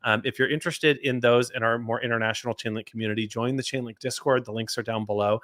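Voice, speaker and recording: Bright, dry-voiced livestream host. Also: male voice, mid-range voice, fast talker, dry recording. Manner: energetic, talkative, many fillers and repeats. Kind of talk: livestream interview hosting.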